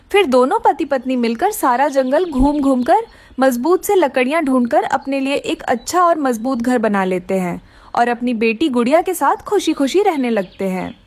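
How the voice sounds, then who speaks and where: A woman's voice: high-pitched, no room sound. A woman narrating a scripted children's story.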